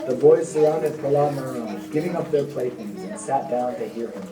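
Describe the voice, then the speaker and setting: A man narrating a scripted puppet show story in solemn, measured phrases. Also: mid-range voice, very noisy. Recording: echoey, distant, music behind.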